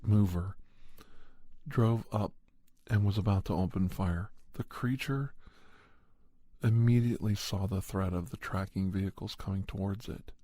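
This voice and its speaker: Deep, gravelly man telling an ASMR story. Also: warm tone, no room sound. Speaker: podcast host and storyteller. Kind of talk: slow ASMR first-person horror story narration.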